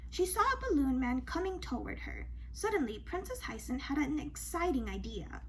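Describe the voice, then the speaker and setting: A woman reading a children's story aloud in an expressive, dramatic way, with emphatic questions and asides. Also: high-pitched, moderate pace, slight background noise. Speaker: storybook reader.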